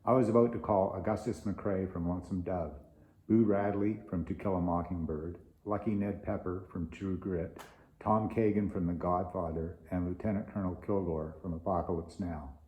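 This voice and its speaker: A man's voice: deep voice, fairly even intonation, moderate pace, mellow. A man telling stories from his working life in film, partly reading aloud from his own memoir. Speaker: film property master and author. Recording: roomy echo.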